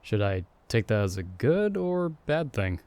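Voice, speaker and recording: A man's voice: on the low side, big pitch swings, unhurried, a little mellow, clear, resonant tone, no room sound. A man playing a playful young man, the hero's friend who was summoned along with him, in an ASMR audio roleplay. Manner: teasing, friendly and upbeat. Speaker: voice actor.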